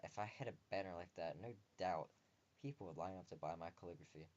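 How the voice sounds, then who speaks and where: A man's voice: deep voice, quick pace, darkish tone, dull audio. A young man reading a visual novel aloud as a let's player, expressively, with casual wry asides. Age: young adult.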